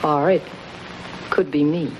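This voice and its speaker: An actress playing a bitter, manipulative gangster's moll with a melodramatic, hard-boiled delivery in an old crime drama. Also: female voice, low-pitched, steady tone, a little slow. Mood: cold, scheming. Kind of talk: mid-century film noir crime drama acting. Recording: muffled, hissy old broadcast recording.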